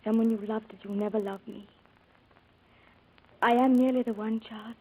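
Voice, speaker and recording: A woman playing a tender, perceptive young bride-to-be, gentle and earnest, in 1940s radio drama style. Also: a little high, fairly even intonation, normal speed, close to an everyday voice. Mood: tender, wounded. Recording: muffled old radio recording, music behind.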